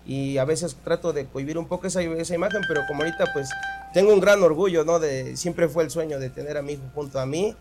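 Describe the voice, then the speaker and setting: A middle-aged man, his voice a little high, talks earnestly and at length about his band. He explains things patiently in a casual radio interview, with faint music in the background. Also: fast talker. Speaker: band leader and owner.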